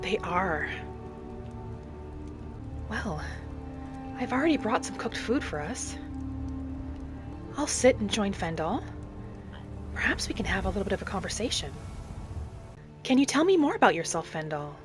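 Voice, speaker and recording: A woman playing a gentle, earnest female wood-elf adventurer, musing aloud as she narrates a roleplay game in character. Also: medium pitch, big pitch swings, a little slow, pauses often, music in the background. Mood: curious.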